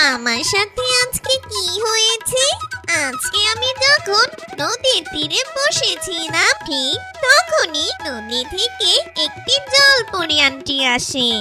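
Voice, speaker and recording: A woman voicing an eager, innocent little girl in a children's cartoon, childlike and excitable. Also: extremely high pitch, exaggerated voice. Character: little girl Tuku, eager, innocent, lychee-loving daughter. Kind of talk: cartoon fairy-tale voice acting. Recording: children's background music throughout. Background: loud playful children's music.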